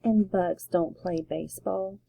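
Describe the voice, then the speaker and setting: Brief: woman doing a scornful big brother, voice pushed lower, dry and unhurried. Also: steady tone, vocal fry, mellow, slightly rough, dry recording, heavily acted. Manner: curt, scornful. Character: dismissive older boy, big brother.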